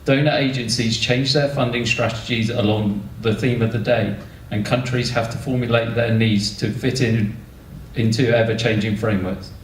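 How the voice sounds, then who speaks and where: A man's voice: medium pitch, steady tone, moderate pace. A British man speaking informally as a panelist at a public event. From United Kingdom. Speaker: panel speaker from an environmental agency. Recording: echoey room, distant mic, faint noise.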